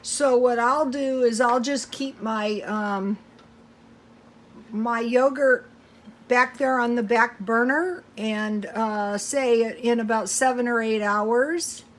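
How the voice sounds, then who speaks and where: A woman's voice: on the high side, fairly even intonation, slow pace, a little hiss or hum. A woman chatting casually to viewers while cooking at home, thinking aloud in a rambling, folksy way. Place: home kitchen.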